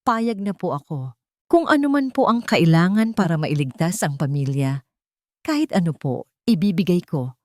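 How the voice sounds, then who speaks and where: A woman with a Filipino accent voicing a wronged young wife in a story, humble and halting, pleading with a breaking voice. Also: on the low side, expressive, a little mellow, no room sound, clean studio sound, lightly acted. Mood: tearful pleading. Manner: halting, deferential.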